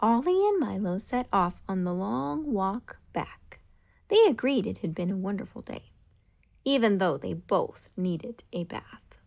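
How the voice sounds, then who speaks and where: A woman reading a children's storybook aloud in an expressive, deliberate storytelling manner. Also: medium pitch, gravelly. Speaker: storybook reader.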